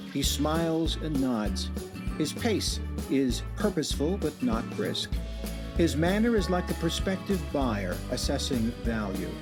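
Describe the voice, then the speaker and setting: A male narrator tells the story in a measured, gently wry way, his pitch rising and falling with plenty of color. Music plays clearly under his voice, like the opening of an old-style radio drama. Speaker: narrator and show host.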